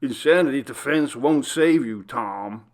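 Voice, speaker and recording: A man's voice: medium pitch, unhurried, lightly acted. A man voicing a cold, mocking prison guard with a curt, dismissive manner in a story reading. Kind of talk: audiobook character acting.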